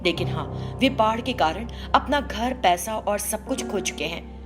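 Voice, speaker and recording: A woman's voice: medium pitch, animated, unhurried, over music, natural voice. A woman voicing a kindly, protective goddess in a reassuring, benevolent manner.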